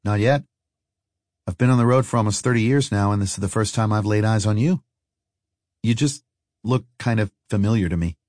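Man playing an easygoing older traveler, low voice. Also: a little fast, long pauses, darkish tone, dry recording, studio quality, natural voice. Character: easygoing, friendly older male road traveler.

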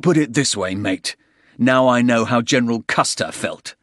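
A man's voice: medium pitch, normal speed, fairly bright tone, no room sound, studio quality, voice pushed up, lightly acted. A man voicing a blunt, sardonic British soldier under fire in an audiobook thriller. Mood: wry, tense.

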